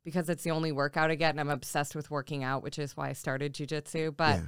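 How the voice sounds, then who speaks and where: A woman's voice: sits low, flat delivery, fast talker, clear, resonant tone, no room sound, studio quality. A woman in her forties from Nebraska, chatting reflectively as a podcast guest, with many fillers and restarts. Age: 40s. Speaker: podcast guest, tattoo artist and jiu-jitsu coach. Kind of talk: casual interview chat.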